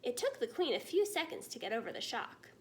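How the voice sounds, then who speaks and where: High, very animated woman storyteller. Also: brisk, slight background noise. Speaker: teacher reading aloud. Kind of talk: expressive children's story narration.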